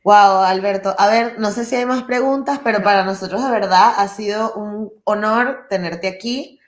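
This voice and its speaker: A woman from hosts in a mid-pitched voice, reacting eagerly with frequent short affirmations. Her voice rings with noticeable room echo. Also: moderate pace. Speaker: podcast festival host. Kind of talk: casual interview hosting. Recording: echoey room.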